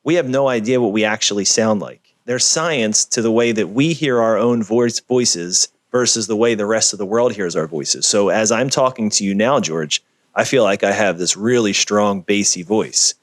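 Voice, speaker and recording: Guy with a lowish voice, punchy storyteller, dry close mic on a video call. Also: normal speed, dry recording. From Philadelphia area. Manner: energetic, emphatic storyteller. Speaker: guest, video producer. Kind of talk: conversational interview over a video call.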